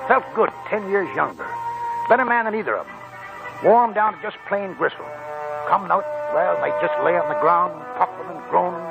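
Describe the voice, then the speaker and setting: An actor playing a wily, scornful old American gold prospector in a 1940s radio drama, with a raspy, creaky voice and folksy rambling talk. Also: male voice, sits high, highs cut off, heavily acted. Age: older.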